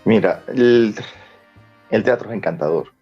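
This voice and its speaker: A man's voice: on the low side, expressive, a little slow, slightly rough. A man with a Venezuelan accent answering interview questions in an emphatic, explanatory way. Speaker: guest, screenwriter and former child actor. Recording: dull lo-fi sound with music behind.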